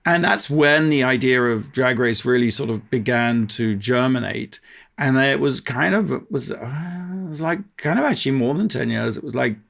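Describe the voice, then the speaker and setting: A man's voice: mid-range voice, normal speed, gravelly, fairly bright tone. A man talking casually as a guest in an interview. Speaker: podcast guest, TV production company co-founder.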